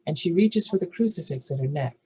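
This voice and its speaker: A woman with a husky voice reading screenplay stage directions as a narrator. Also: deep voice, expressive, fairly quick.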